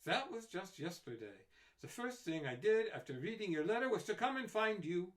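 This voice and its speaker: A man voicing an eccentric little female scientist who speaks in a strange, soft accent with fussy exclamations. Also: high voice, sing-song, moderate pace, voice pushed up. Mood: earnest.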